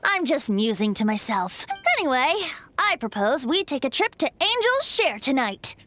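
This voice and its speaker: A woman's voice: high-pitched, sing-song, moderate pace, pushed and bright, dry recording, soft background music, full character voice. A woman playing a youthful, whimsical male bard in a video game, with a teasing, musing manner. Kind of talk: video game character acting.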